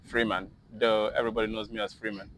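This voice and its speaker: A man with a Nigerian accent telling his story as an interview guest in a halting, informal way. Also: lowish voice, little pitch movement, fairly bright tone. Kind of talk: casual conversational storytelling.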